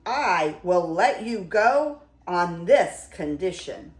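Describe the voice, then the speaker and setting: An older woman acts out a huge hairy beast from a fairy tale, emphatic and blustering but kind underneath. The voice leaps about in pitch and echoes in the room. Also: very animated, takes it slowly. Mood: blustering, indignant. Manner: bellowing, emphatic.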